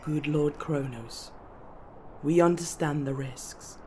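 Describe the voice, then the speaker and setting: A woman voicing a dignified, proud elven lady who speaks formally and in measured tones. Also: sits low, dark tone, slight background noise. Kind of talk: ASMR fantasy roleplay.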